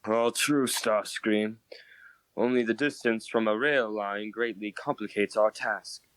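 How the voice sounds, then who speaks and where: Man as a low-voiced earnest period gentleman inventor. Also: fairly even intonation, measured pace, no room sound, natural voice, rough recording. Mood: puzzled, worried. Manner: formal old-fashioned diction. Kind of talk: comic dub voice acting.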